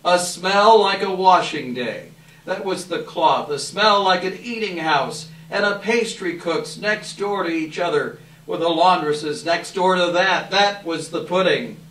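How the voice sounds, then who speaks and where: A man giving an expressive live dramatic reading of a classic story as narrator, with measured phrasing. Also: sits high. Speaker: narrator, readers theater volunteer. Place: live event room. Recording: echoey room, distant microphone.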